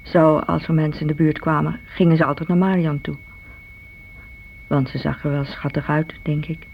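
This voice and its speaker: Blunt, resentful elder sister, muffled recording. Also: female voice, deep voice, little pitch movement, normal speed. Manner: frank, disdainful. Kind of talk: radio play monologue, confiding. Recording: muffled old radio recording, faint hiss.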